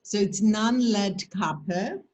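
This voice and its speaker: Slow-speaking older woman with Polish accent. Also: mid-range voice, a little mellow, highs cut off. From Poland. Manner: slow, emphatic, motivational. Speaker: presenter. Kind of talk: webinar question and answer. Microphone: video call.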